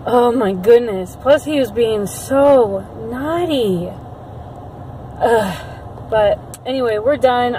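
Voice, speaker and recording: A young woman vlogger talking casually to her viewers into a phone camera held at arm's length, with plenty of ums and quick asides. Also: mid-range voice, dark tone, a little hiss or hum, poor audio. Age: young adult. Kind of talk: casual vlog chat. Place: car and bookstore, later a home room at a webcam. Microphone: phone camera at arm's length, later a webcam.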